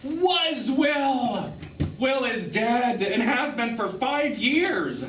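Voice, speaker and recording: Bitter, animated jilted lover, distant stage. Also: male voice, very high voice, extremely slow, heavily acted. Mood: accusing. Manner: theatrical, cutting, emphatic. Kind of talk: live one-act stage drama acting. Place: small theatre stage, recorded from afar. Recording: distant noisy roomy theatre stage recording.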